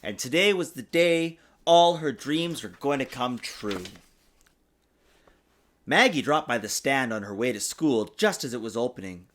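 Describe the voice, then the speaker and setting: A man reading a children's storybook aloud in an expressive, sing-song way, as a father does for his young child. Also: mid-range voice, measured pace. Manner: patiently answers child's interruptions. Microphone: desktop USB microphone.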